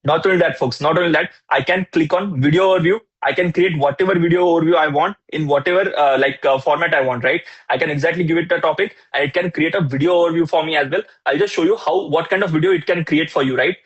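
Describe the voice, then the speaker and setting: Husky, excitable Indian man teaching a workshop. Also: fairly high pitch, fast, hardly any pauses, dull audio. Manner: repeats rhetorical questions, "right?" and "folks". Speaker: AI tools workshop instructor. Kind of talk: energetic live workshop teaching.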